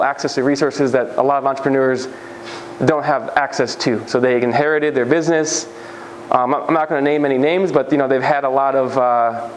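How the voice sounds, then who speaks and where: A man giving a relaxed conference presentation, talking loosely with lots of "like" and "um" and frequent restarts. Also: medium pitch, slight background noise, rough recording. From United States. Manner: casual, many fillers and restarts. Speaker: speaker. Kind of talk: conference talk.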